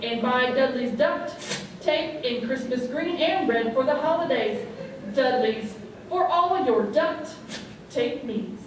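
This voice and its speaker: A woman playing a straight-faced radio pitchwoman, delivering a parody commercial as a measured sales pitch. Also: animated. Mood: earnest selling. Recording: very echoey hall, distant and noisy.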